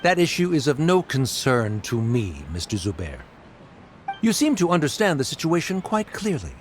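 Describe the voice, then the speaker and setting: Stern middle-aged bureaucrat, animated pitch movement, formal, dismissive, condescending, game dub. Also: male voice, normal speed, a little hiss or hum. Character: cold, condescending middle-aged male official and estranged father. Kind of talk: English game dub voice acting.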